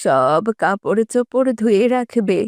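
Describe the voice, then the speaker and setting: A woman voicing a bossy elderly mother-in-law who scolds and commands with drawn-out words in a family cartoon. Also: mid-range voice, lively intonation, a little mellow, no echo, studio quality, close to an everyday voice. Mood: stern, instructing. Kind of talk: Bengali family cartoon voice acting.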